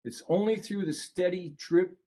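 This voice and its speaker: A man's voice: high voice, moderate pace, mellow. A man co-presenting an informal online webinar, speaking as an author.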